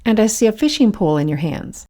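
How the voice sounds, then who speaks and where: Interviewer, female, mid-range voice, measured and simple questioning. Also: medium pitch, animated, mellow. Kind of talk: scripted interview questions for English learners.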